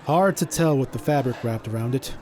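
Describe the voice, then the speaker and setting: Dry, level-headed man of medium pitch, acted. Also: a little fast, a little mellow, natural voice. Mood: pensive. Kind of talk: audio drama acting.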